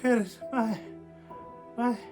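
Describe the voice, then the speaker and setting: An actor playing a dying, delirious lover in a radio play, speaking tenderly in halting gasps. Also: medium pitch, steady tone, darkish tone, soft background music, lightly acted. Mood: tender, weeping.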